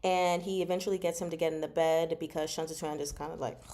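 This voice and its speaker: A woman giving a casual, chatty book recap as a host, expressive and emphatic, often checking in with the listener. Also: sits low, quick pace. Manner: expressive, conversational, emphatic, often checks in with "okay?" and "you know". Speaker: host of a book discussion vlog.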